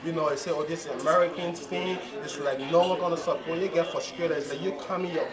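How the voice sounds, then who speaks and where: Young guy from liberia, earnest, keeps repeating himself, slightly high voice, loud crowd behind. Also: fairly even intonation, fast talker. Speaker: bodybuilding champion. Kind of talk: post-show interview. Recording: loud crowd at a contest venue.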